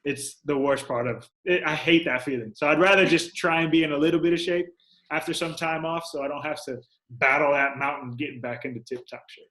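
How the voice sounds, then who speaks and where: A man's voice: on the high side, steady tone, fairly quick, bright, forceful tone. A young American man, a pro athlete, telling stories casually in an interview, rambling conversationally and voicing quoted remarks. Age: young adult. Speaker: professional soccer player, podcast guest.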